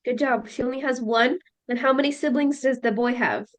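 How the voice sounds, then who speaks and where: A woman teacher leading a listening lesson, explaining slowly and checking in with her students. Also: sits high, fairly even intonation. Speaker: English teacher.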